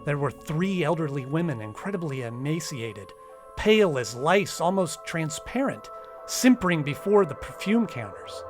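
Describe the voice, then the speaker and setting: Man playing a high-pitched, nervous poet, music behind. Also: sing-song. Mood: awed, uneasy. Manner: breathless, stammering, halting. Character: nervous young male poet narrating his eerie ordeal. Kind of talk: dramatic radio narration.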